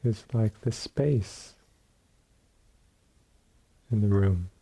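A man leading a guided meditation as a teacher, speaking calmly in short phrases with long pauses and a soft, husky voice. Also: fairly low pitch. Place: meditation retreat room.

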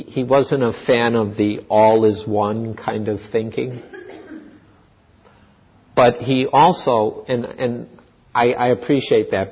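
Slow, husky-voiced man teaching dharma. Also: fairly low pitch. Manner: slow, thoughtful, with restarts and pauses. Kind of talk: unscripted dharma talk. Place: meditation center hall. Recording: dull lo-fi recording with long hall reverb.

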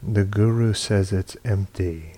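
A man's voice: fairly low pitch, takes it slowly, mellow. A man leading a guided meditation as a teacher, reading poems and reflections in sparse phrases with long silences. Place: meditation retreat hall.

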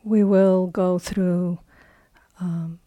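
A woman leads a guided meditation in a soft-edged, mellow voice. She speaks very slowly, leaving long pauses between short phrases. The sound is close and dry. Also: fairly low pitch, flat delivery, dry recording. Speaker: meditation teacher.

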